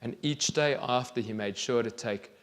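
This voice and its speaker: A man in his thirties reads a children's story aloud on stage in a deliberate, expressive way with pauses. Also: mid-range voice. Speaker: children's author reading aloud. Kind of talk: storybook reading to an audience. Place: large theatre stage, indoors. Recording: reverberant theatre hall.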